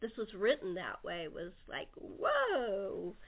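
A woman's voice: mid-range voice, huge pitch swings, takes it slowly, husky, narrow, tinny audio. A woman hosting a casual, chatty cast interview on a talk show. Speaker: host and producer of an audio theater talk show. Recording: thin, band-limited call-in show audio.

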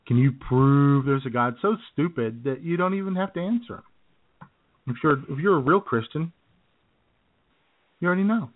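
A man's voice: a little high, moderate pace, pauses often. A man co-hosting a comedy podcast in dry, sarcastic casual chat, heard through a tinny, phone-like recording. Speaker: podcast co-host.

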